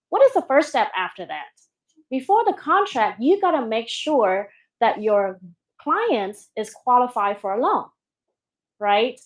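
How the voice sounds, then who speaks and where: A woman hosting a real estate seminar, chatty and jokey, often asking the room questions. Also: high-pitched. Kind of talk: casual seminar talk.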